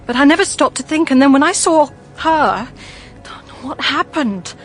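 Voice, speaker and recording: A woman's voice: high-pitched, animated, moderate pace, a little hiss or hum. An actress playing a fragile, anxious young woman in a radio drama, speaking in a tremulous, hesitant way. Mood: frightened, shaken.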